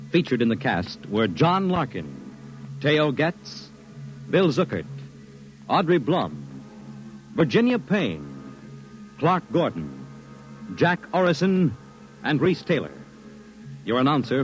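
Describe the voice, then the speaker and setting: A man with an American accent announcing formally and dramatically in scripted 1950s radio style. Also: medium pitch. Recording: muffled vintage radio recording. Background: music.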